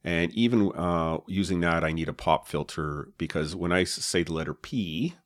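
A man with a low voice, co-hosting a podcast and explaining his gear in a relaxed way, with many fillers, on a dynamic mic with a pop filter. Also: normal speed, no echo. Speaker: podcast co-host. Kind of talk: casual chat about podcast gear. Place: home podcast setup. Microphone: dynamic podcast mic with pop filter and foam cover.

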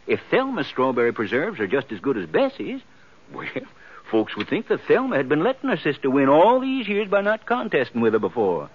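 A man's voice: a little high, huge pitch swings, a little fast, breathy edge, full character voice. An actor playing a wheedling, pushy small-town man in a 1950s radio western, folksy and insinuating. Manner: folksy, insinuating, hems and haws. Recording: muffled 1950s radio recording.

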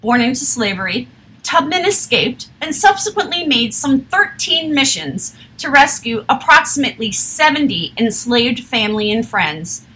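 A woman reading a history text aloud in a steady, measured way, with an occasional slip. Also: a little high, low-quality recording.

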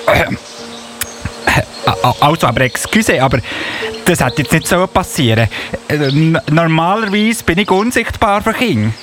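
Man voicing a high, fussy elf policeman. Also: expressive, moderate pace, fairly bright tone, faint music behind. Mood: flustered, indignant. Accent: Swiss. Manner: indignant, officious, with repeated verbal tic. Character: fussy, self-important elf policeman of the Christmas corps. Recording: noticeable buzzy background noise.

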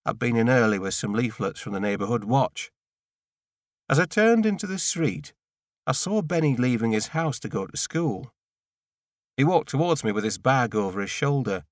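A man reading a first-person novel in an understated, dry, clearly spoken storytelling manner. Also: mid-range voice, animated, normal speed, no room sound. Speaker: audiobook narrator.

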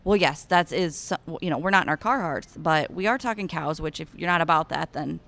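A woman's voice: low-pitched, brisk, gravelly. A young woman with an Iowan accent, chatting enthusiastically as an interview guest about being a cattle ambassador. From Iowa. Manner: bubbly and enthusiastic, gushing with excitement. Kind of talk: casual interview chat.

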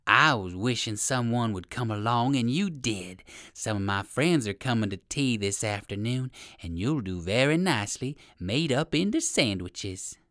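A storyteller voicing a sly, wicked owl with slow, drawn-out, sarcastic menace in a children's storybook. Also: mid-range voice, animated, fairly bright tone, no room sound, clean studio sound. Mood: sarcastic, gloating.

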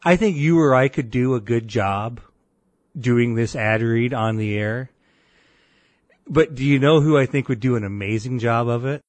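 A deep-voiced man co-hosting a podcast, telling a rambling story full of restarts and quoted voices. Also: moderate pace, no echo. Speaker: podcast co-host. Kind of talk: casual podcast banter.

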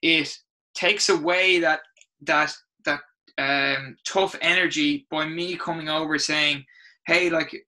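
A middle-aged man with an Irish accent, a rugby coach telling stories in an interview, expressive and full of restarts. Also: fairly high pitch, slow, rough recording. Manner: animated, expressive storyteller with many restarts. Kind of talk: interview storytelling.

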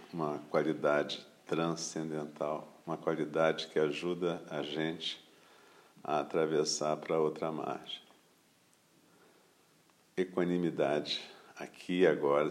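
A man with a Brazilian Portuguese accent leading a guided meditation as a teacher, calm and unhurried, speaking in short phrases with pauses. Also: rumbling low.

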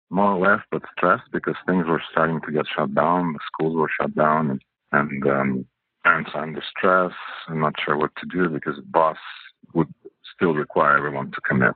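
A Russian-born architect raised in Canada, recounting events in a halting way with many fillers, his voice husky. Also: extremely low pitch, no echo. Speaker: architect guest. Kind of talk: storytelling interview answer. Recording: phone-like narrow audio.

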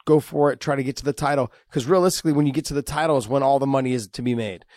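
A man's voice: mid-range voice, very fast, a little mellow, no room sound, studio quality. A man in his forties, a podcast co-host and former fighter, talking casually and rambling, joking and laughing often. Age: 40s.

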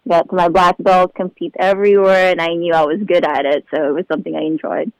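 A woman's voice: lowish voice, level tone, fast, darkish tone, clear, resonant tone, no echo, low-quality recording. A bubbly young woman from the Philippines, chatting and laughing often as a guest in a casual interview. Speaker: show regular being interviewed.

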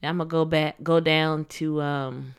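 A woman with a low, warm-toned speaking voice co-hosts a relaxed faith podcast. She banters and laughs easily, then reads Bible passages aloud. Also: normal speed, smooth. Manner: playful, laughs often, reads scripture aloud. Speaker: podcast co-host. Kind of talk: casual faith podcast chat and scripture reading.

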